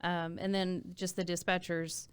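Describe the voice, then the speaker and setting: A woman's voice: fairly low pitch, little pitch movement, measured pace, smooth. An American woman talking casually and earnestly in an interview, with admiration, as an emergency dispatch supervisor. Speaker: emergency dispatch supervisor and trainer, podcast guest.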